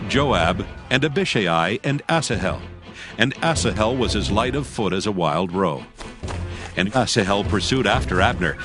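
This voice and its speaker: A man narrating a dramatized scripture audiobook with solemn, measured phrasing. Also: on the low side.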